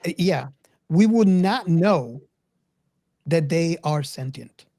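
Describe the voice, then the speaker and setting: A man's voice: fairly high pitch, expressive, takes it slowly, warm tone, dry recording, studio quality. A man in a casual film discussion, earnest and insistent, repeating his phrases to make a point. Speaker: podcast co-host.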